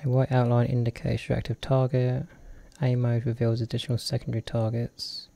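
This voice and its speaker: A man's voice: mid-range voice, monotone, fairly quick, gravelly, dark tone, no room sound. A man with a cold giving jokey, chatty commentary while playing a game, reading the on-screen text aloud. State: has a cold. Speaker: let's play host. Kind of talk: casual gameplay commentary.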